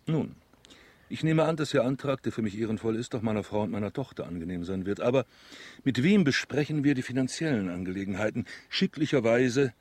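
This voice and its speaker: An actor playing a greedy banker in a radio drama, with a rough, husky voice and a pompous, ingratiating manner. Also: male voice, mid-range voice, lively intonation, fairly quick, darkish tone, no room sound. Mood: pompous, courteous. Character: greedy, pompous male banker, father of a bride. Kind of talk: German radio drama acting.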